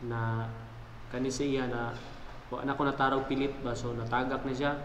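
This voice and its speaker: A man's voice: medium pitch, little pitch movement, normal speed. Visayan Filipino man in an echoey, noisy room. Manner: conversational, addressing viewers with check-in questions. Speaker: motovlogger presenting his gear. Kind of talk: casual product demonstration. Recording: echoey reverberant room, clear background noise.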